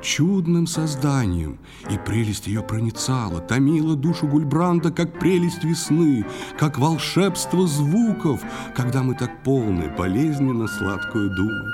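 A man reading a poetic tale as an audio-play narrator, expressive and lyrical. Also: medium pitch, a little slow, hardly any pauses. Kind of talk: dramatic verse narration, audio storytelling. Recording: music under the voice. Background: guitar and instrumental music.

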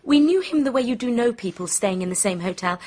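A confident young woman in a radio drama. Her voice is husky and her intonation lively, with a candid way of putting things. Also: on the high side, fairly quick. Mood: chatty, amused.

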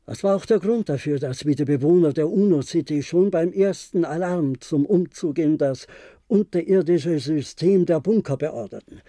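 An actor in a German radio play, playing an older, resigned former diplomat who reminisces in a measured, formal way. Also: male voice, on the high side, dark tone, dry recording, studio quality. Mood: grave. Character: resigned, reflective former diplomat, aging bunker survivor.

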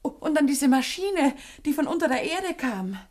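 An actress playing an anxious, devoted and affectionate daughter in a German radio drama, earnest and emotive. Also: female voice, sits high, sing-song, normal speed, darkish tone.

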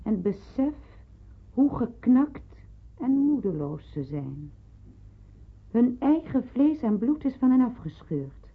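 A woman's voice: on the high side, very animated, slow, husky, heavily acted. A woman playing a kindly but grave senior nurse in a radio drama, speaking earnestly and emphatically, as if counselling. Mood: grave, urging. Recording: muffled narrow-band old radio recording.

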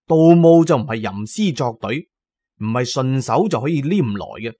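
A man with a Cantonese accent narrating a scripted story in a measured, dramatic, suspenseful storyteller's delivery. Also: medium pitch, animated, dark tone, breathy edge, dry recording, studio quality. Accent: Hong Kong or Guangdong Cantonese. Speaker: audiobook narrator.

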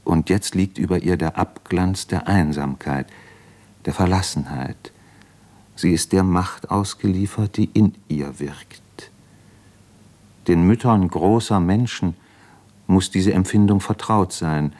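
Radio play: ailing introspective diarist, deep-set low register, brooding, halting, self-questioning. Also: male voice, dark tone. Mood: tender, melancholy. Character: brooding, ailing man writing an introspective diary. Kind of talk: literary radio play monologue.

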